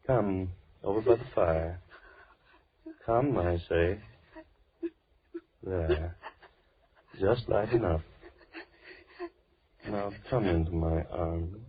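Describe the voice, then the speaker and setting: An actor playing a menacing, deranged villain in 1940s radio horror, theatrical and volatile, shifting between coaxing and anger. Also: male voice, low-pitched, drawn-out words, long pauses. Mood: coaxing menace. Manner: theatrical, gloating, swinging from coaxing to angry. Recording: muffled old radio recording, crackly background.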